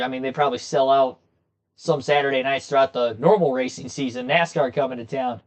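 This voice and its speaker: A young man with a slightly hoarse voice, chatting casually with viewers as he hosts a racing commentary livestream from a desk in a small room. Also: medium pitch. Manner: chatty, talks straight to his viewers.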